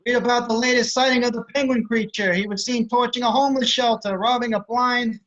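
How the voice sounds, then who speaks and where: A man's voice: very high-pitched, steady tone, fairly quick, fairly bright tone. A man voicing a young paperboy hawking lurid headlines in an amateur table read, on a dull home microphone. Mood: excited hawking.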